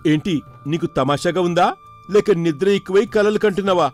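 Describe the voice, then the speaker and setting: A man voicing an excitable, superstitious village manservant with exclamatory rustic speech in a folk tale. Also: high-pitched, normal speed, soft background music, natural voice. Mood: excited, incredulous. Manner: exclamatory, colloquial rustic phrasing.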